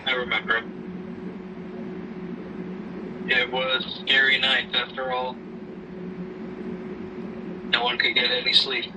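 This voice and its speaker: A man playing a grieving widowed father who gently counsels his daughter in a halting, measured way in an amateur audio drama. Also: completely flat delivery, lightly acted. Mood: gentle, somber. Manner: halting, subdued, measured delivery. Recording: noisy rumbling background, distant mic.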